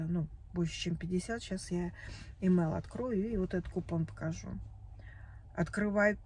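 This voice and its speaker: Tearful woman vlogger, 40s, mellow voice, faint car hiss, vents at arm's-length phone. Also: fairly low pitch. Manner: upset, tearful, venting. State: tearful after crying. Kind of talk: emotional confessional vlog. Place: parked car. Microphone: phone camera at arm's length.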